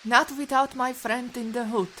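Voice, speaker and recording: A woman's voice: a little high, steady tone, normal speed, pushed and bright. A woman playing a resolute, protective rescuer in an audio drama, with a Russian accent and formal, commanding phrasing. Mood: firm, impatient. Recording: faint hiss with rain behind. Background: rain.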